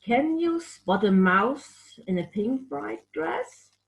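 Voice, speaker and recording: A woman storyteller narrating a picture-book story for children, expressive and addressing young listeners with questions. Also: fairly high pitch, slow, dull audio.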